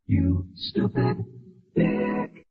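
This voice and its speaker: A man putting on a shrill, mocking jingle voice with exaggerated, drawn-out delivery. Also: muffled recording, faint music behind.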